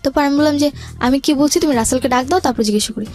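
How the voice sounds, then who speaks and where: Fast-talking Bangladeshi woman, altered high voice. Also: darkish tone, faint music behind. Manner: quotes remembered conversations, mixes in English words. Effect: voice altered to hide identity. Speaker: guest telling own life story. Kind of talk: personal storytelling in radio interview.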